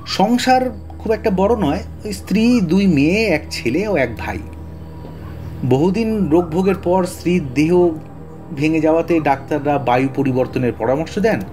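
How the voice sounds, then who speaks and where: An actor playing a talkative, excitable middle-aged police detective in an audio drama, blustery, often stammering and repeating words. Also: male voice, fairly high pitch, normal speed, faint music behind, close to an everyday voice. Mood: grave, explanatory. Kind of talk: Bengali audio story acting.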